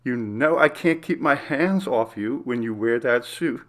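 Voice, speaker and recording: A man's voice: mid-range voice, a little slow, fairly bright tone, natural voice. A man voicing an affectionate, flirtatious wife in a storybook reading.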